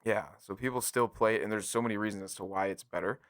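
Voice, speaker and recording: A man's voice: lowish voice, fairly even intonation, quick pace, a bit bright, no echo, clean studio sound. An American man co-hosting a casual gaming podcast, chatting informally and jokily and talking straight to listeners. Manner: jokey, informal, addresses listeners directly. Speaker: podcast co-host.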